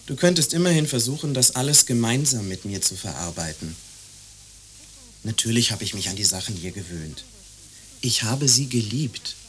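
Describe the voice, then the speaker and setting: A man's voice: mid-range voice, animated, moderate pace, a bit bright, natural voice. An actor playing an exasperated young man in a radio play, arguing emphatically and repetitively in defence of his attachments. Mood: pleading, argumentative. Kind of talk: radio play dialogue acting.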